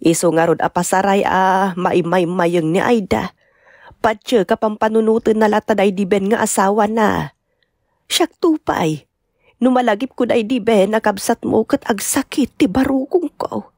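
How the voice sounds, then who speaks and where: A woman's voice: mid-range voice, fairly even intonation, measured pace, darkish tone, no room sound, studio quality. A woman with an Ilocano accent playing a sorrowful, tender older mother in an audio drama. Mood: sad, worried. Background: music.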